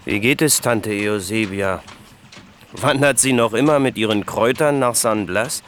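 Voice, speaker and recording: An actor playing a gruff, distrustful, embittered male recluse and inventor in a German radio play. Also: on the low side, normal speed, fairly bright tone, a little hiss or hum, natural voice. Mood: softened, gruff. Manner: snappish, distrustful.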